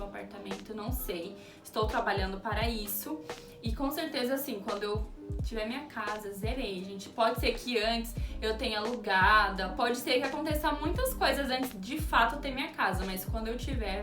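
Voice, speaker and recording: A woman in her twenties from Brazil, chatting casually as she answers viewer questions in her vlog, with music playing behind. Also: fairly high pitch. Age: 20s. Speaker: youtuber. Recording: roomy echo, distant mic, music behind. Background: background music.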